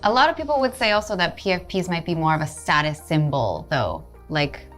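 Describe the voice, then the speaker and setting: A woman from the United States asks relaxed questions and drops in short affirmations. Her voice is very low for a woman. Faint music sits behind her. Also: a little fast. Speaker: interviewer. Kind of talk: interview questions and reactions.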